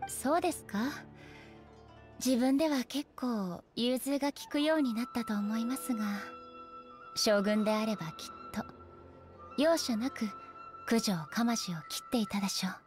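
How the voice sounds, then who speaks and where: A woman playing a solemn female ruler deity in a Japanese anime game, speaking in a composed, formal, measured manner. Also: fairly high pitch, a little mellow, soft background music, lightly acted.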